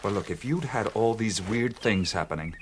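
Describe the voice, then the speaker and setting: An actor playing a bewildered, earnest adventurer with an American accent in a radio drama, hesitant and stammering. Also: male voice, on the low side, expressive, normal speed, slight background noise, natural voice.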